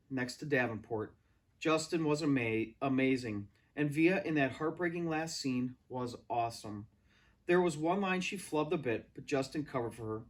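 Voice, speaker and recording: A man reading a story aloud as an expressive narrator. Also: mid-range voice. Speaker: read-aloud reader.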